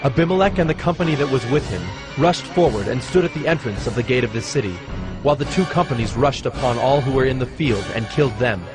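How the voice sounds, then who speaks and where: A man narrating dramatized scripture as a scripted storyteller. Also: mid-range voice. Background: music.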